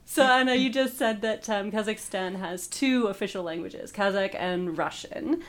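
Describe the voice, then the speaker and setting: A woman's voice: medium pitch, moderate pace. A woman hosting a podcast from a radio studio, encouraging and friendly with many fillers. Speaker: podcast host. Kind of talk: podcast interview.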